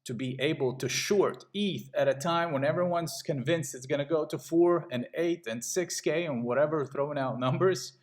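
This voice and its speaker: A middle-aged man from Latvia giving a casual sales pitch while walking through trading charts. He talks in a pushy way and keeps checking in with "okay?". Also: normal speed, hardly any pauses. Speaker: trading channel host.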